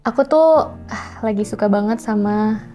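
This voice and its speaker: A woman speaks in a mellow, mid-pitched voice. She chats casually and expressively as a singer-songwriter guest, with colloquial interjections, over faint music. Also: normal speed. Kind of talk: casual chat.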